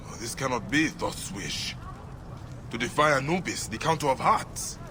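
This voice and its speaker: A man playing a solemn, dutiful ancient warrior in a video game, speaking gravely and formally. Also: medium pitch, expressive, sharp tone, a little hiss or hum. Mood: troubled. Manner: grave, measured, formal speech. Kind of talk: dramatic video game acting.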